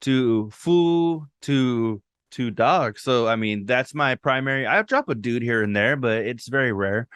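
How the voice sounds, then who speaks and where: A man's voice: medium pitch, unhurried, few pauses, fairly bright tone, smooth, no room sound, studio quality. A young man co-hosting a podcast, chatting casually and telling stories with slang and a few Spanish words. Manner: laid-back, storytelling with slang and Spanish words. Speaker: podcast co-host. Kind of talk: casual chat.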